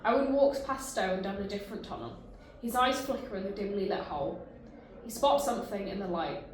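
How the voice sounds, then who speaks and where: A woman's voice: medium pitch, a little fast, heavy reverb. A woman reading narration and stage directions at a table read.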